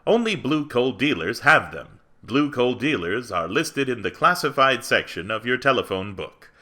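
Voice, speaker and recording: Man playing an animated 1940s radio pitchman. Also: normal speed. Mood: earnest, persuasive. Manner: emphatic, salesmanlike delivery. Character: earnest, upbeat 1940s radio commercial announcer. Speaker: announcer. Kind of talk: 1940s radio advertisement read.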